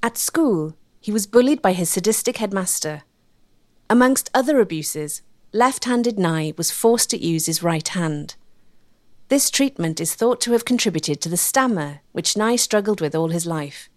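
A woman with a mid-pitched voice narrates a documentary. Her delivery is measured, scripted and articulate, in a very dry recording. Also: expressive, darkish tone, no echo.

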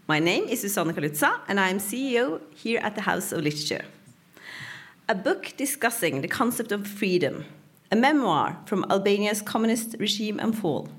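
Lively woman introducing an event. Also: mid-range voice. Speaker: event host introducing speakers. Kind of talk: formal scripted introduction.

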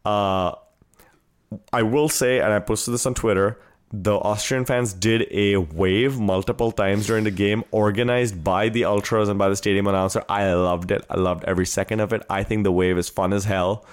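A man's voice: fairly low pitch, fairly even intonation, moderate pace, clear, resonant tone. An American man hosting a podcast, chatting informally and with enthusiasm as he recounts things. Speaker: podcast host. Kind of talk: casual chat, recounting a trip.

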